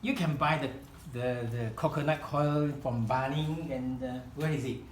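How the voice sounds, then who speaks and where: A man whose first language is Chinese, leading a live kokedama-making demonstration in a room and often pausing to search for words. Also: mid-range voice, echoey room, slight background noise. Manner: halting, searching for words, many restarts. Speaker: kokedama workshop founder demonstrating. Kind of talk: live hands-on craft demonstration. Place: room at a home demonstration event.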